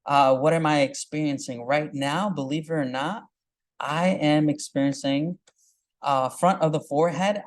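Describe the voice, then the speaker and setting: A man in his twenties whose first language is Urdu, giving a live software demo at a meetup, halting with many fillers and restarts and tagging points with "right?". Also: fairly high pitch. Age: 20s. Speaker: teacher presenting at a meetup.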